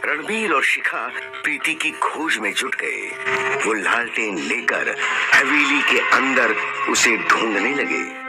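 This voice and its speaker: A man narrates a horror cartoon tale with dramatic suspense. His delivery is slow and animated, with loud music behind it. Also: medium pitch.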